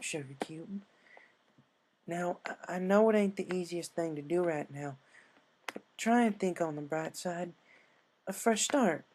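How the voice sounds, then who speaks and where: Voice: mid-range voice, darkish tone, slight background noise, pitched above the performer's own voice, natural voice. A narrator voicing a caring, folksy young female farm pony with an earnest, homespun way of talking.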